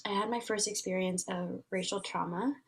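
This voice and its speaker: Interview guest: woman in her 30s, Vietnamese native speaker, mid-pitched, earnest and searching, lots of restarts. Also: fairly even intonation, moderate pace. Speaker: guest, transformation facilitator. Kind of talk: casual reflective interview talk.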